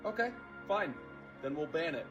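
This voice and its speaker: A man's voice: a little high, expressive, moderate pace, over music, close to an everyday voice. A man playing a smug, goading male authority figure in an online comedy skit. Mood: smug, controlling. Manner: smug, baiting.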